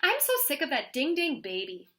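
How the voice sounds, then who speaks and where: Woman reading as a grumbling jealous little girl, bright-voiced. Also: high-pitched, very animated, moderate pace, pitched above the performer's own voice, exaggerated voice. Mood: fed up. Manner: grumbling, plainspoken. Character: jealous young girl narrating a children's picture book.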